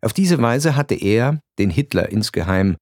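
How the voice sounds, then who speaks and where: A man reading a literary radio narration in a measured, wry way. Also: medium pitch, lively intonation, a little mellow, dry recording, clean studio sound. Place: radio studio.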